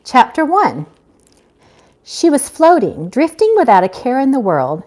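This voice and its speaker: A middle-aged woman reads fiction aloud in an informal live setting. Her voice sits fairly high and moves with very lively intonation. The delivery is expressive and unpolished, that of an amateur rather than a trained narrator. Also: unhurried. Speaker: author reading own novel aloud. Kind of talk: live amateur audiobook reading with casual asides.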